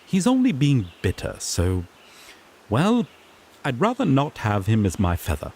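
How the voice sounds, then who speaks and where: A man's voice: mid-range voice, huge pitch swings, a little slow, darkish tone, a little hiss or hum. A man playing a sassy griffon knight in a fantasy audio drama, an earnest rookie lawman who explains things formally with mild exasperation. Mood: dry, put-upon.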